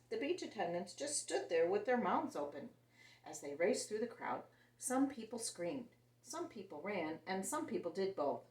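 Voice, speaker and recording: A woman reads a picture book to little listeners in a lively, expressive voice that rises and falls a lot. The sound is roomy and she sits some distance from the mic. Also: medium pitch. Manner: animated and expressive, voicing characters. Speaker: children's story reader. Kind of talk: reading a picture book aloud to children.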